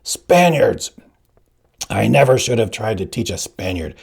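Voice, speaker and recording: A gruff old fencing master in a storybook, ranting and scornful at full volume, as a male narrator puts him on. The voice is gravelly and very husky, with lively swings in pitch. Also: measured pace, full character voice.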